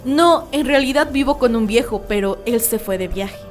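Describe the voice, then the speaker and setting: A woman's voice: a little high, normal speed, soft background music, natural voice. An actress playing a mad, cunning woman murderer in a radio drama, theatrical, insistent and self-justifying. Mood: falsely cordial. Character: mad, cunning, boastful woman murderer confessing her crime.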